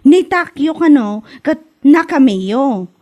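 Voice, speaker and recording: Lady playing a chatty busybody neighbour, comedy drama, high voice swooping around, teasing. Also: expressive, slow, dull audio. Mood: gossipy. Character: nosy, teasing gossipy neighbour woman. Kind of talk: Ilocano comedy radio drama acting.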